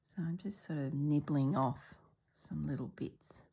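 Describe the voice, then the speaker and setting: A middle-aged woman from Australia chatting casually as she stitches, a little congested and clearing her throat, sometimes dropping to soft murmurs. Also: sits low, unhurried. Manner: chatty, relaxed, drops into soft murmurs while working. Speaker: stitching vlogger. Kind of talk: casual chat while stitching.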